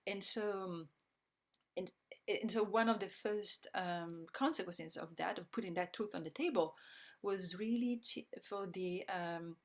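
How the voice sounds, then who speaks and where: A woman with an Italian accent, a guest in an interview, answering thoughtfully with many pauses, ums and restarts. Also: medium pitch. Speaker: guest, systems thinking educator.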